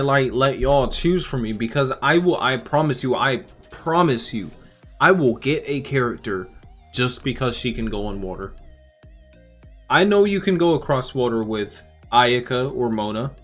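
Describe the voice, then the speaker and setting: A man giving casual game reaction commentary as a YouTuber, talking informally and rambling as he thinks out loud. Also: mid-range voice, expressive, normal speed. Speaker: gaming YouTuber. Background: music.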